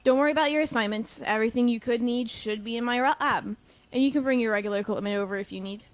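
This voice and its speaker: An actress playing a kindly but reserved professor in an audio drama, caring and measured in manner. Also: female voice, on the high side, lightly acted, rough recording. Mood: apologetic but firm. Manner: caring, measured, calls listener dear. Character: kindly, reserved female professor, gently firm.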